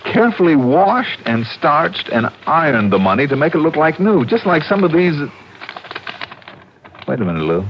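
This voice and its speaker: An American actor playing a wry, slangy, hard-boiled insurance investigator in an old-time radio detective drama. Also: male voice, a little high, sing-song, moderate pace, slight background noise. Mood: urgent. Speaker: lead actor and narrator. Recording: muffled vintage radio recording with music.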